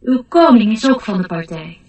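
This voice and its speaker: A woman's voice: on the high side, lively intonation, moderate pace, a little mellow, dull audio. A woman playing a courteous, proud glow-worm in mourning, formal and wistful, in a radio play. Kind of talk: Dutch radio play acting. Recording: distant-sounding radio play recording.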